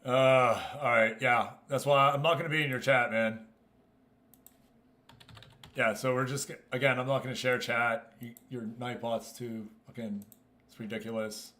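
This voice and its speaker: A man's voice: medium pitch, fairly even intonation, a little slow, sharp tone. A man hosting a livestream, giving casual game commentary and chatting informally with viewers. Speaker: livestream host.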